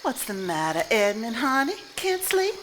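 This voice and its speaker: An actress playing a wistful, tender 1950s wife in a live stage play, speaking affectionately. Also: female voice, fairly high pitch, sing-song, normal speed. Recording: live stage recording with faint noise.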